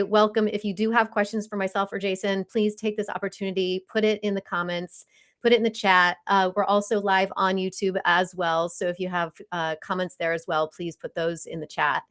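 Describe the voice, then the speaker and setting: A woman's voice: mid-range voice, steady tone, brisk, fairly bright tone. A woman hosting a live-stream interview in a conversational way, recapping and working her way toward questions. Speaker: podcast host and interviewer.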